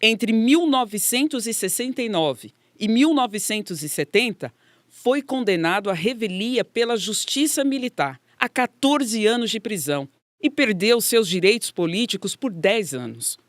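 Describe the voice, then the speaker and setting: A Brazilian woman delivers scripted documentary narration in a middle-pitched voice. The recording is dry and close, with very little room around her. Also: expressive, moderate pace, dry recording.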